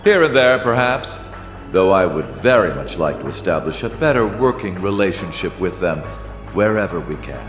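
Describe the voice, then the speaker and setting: A man's voice: sits low, lively intonation, moderate pace. A man playing a scheming nobleman in a video game cutscene, speaking in a formal and composed way. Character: smooth, scheming nobleman announcing war plans. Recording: echoey hall reverb, music behind.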